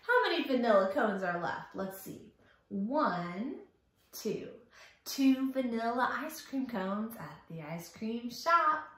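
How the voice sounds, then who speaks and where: Female children's storyteller, highly animated and unhurried, interactive and exaggerated in expression, recorded in a strongly reverberant room. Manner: animated, sing-song, exaggerated expression, asks and praises. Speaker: children's library storytime host. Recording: very echoey room.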